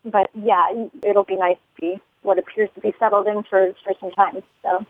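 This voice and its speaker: A chatty woman calls in over a tinny phone line, her voice dry and boxed in. She rambles, circles back on herself and laughs often, with a pitch sitting in the middle. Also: little pitch movement, moderate pace, no room sound. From United States. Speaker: musician guest. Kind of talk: phone interview. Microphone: telephone.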